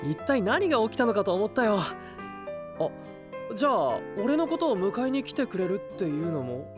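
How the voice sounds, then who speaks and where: An earnest young knight hero in an anime-style audio drama. He sounds composed and straightforward, with lively intonation. Faint music runs behind him. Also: sits high, normal speed. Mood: surprised. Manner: expressive, straightforward.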